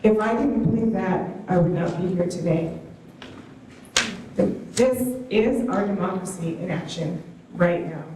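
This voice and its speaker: A woman's voice: on the low side, unhurried, heavy background noise. A woman giving an earnest, rousing speech at a town hall podium. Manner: earnest, soft-spoken, rousing. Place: large hall on stage. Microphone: distant stand mic, room pickup. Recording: echoey hall heard from far off.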